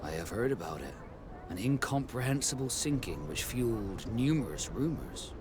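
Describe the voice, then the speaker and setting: An actor playing a loyal, determined sea captain in a video game, speaking formally and resolutely. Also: male voice, on the low side, very animated, normal speed, background noise. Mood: dutiful. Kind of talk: dramatic game dialogue.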